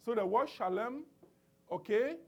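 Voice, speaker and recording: A man preaching with a husky, fairly high voice that swings widely in pitch. He presses his points emphatically and keeps asking for amens. He restarts his phrases often as he works through scripture. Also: expressive, a little slow, darkish tone. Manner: emphatic call-and-response preaching with many restarts. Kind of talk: sermon.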